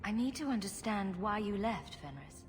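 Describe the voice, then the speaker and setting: A woman's voice: medium pitch, animated, moderate pace, over music, close to an everyday voice. A woman playing a witty, sarcastic female rogue adventurer in a video game, with a playful delivery. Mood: earnest. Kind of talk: dramatic video game acting.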